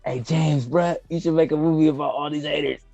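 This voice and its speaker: A man co-hosting a casual podcast, bantering in animated, exclamatory slang and acting out reactions. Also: on the high side, a little fast, vocal fry, lo-fi sound, over loud music. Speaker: podcast co-host. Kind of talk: casual banter about movies and sports.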